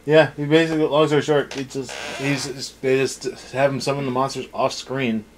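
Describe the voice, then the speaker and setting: A man's voice: medium pitch, little pitch movement, fairly quick, a little hiss or hum, low-quality recording. A man giving a casual, unscripted episode review as a co-host, with a sarcastic, snarky way of talking. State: tired.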